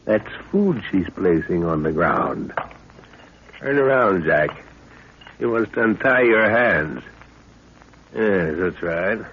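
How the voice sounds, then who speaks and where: Older adventurer, confident and brisk, 1930s radio serial style, lowish voice, faint hiss and muffled sound. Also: male voice, expressive. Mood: hearty, assured. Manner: brisk, fatherly, commanding. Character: seasoned, confident older male jungle explorer, hearty and commanding. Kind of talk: 1930s radio drama acting. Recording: dull, hissy 1930s radio recording.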